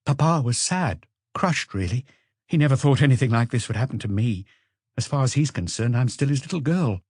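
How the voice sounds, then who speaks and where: An audiobook character, an anxious and guarded young woman, played by a male reader. The voice is raised and lilting, with a soft, hesitant way of answering. It sounds close and dry. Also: mid-range voice, animated, a little fast, no echo, pitched above the performer's own voice, natural voice. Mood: earnest. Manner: hesitant, evasive, soft-spoken.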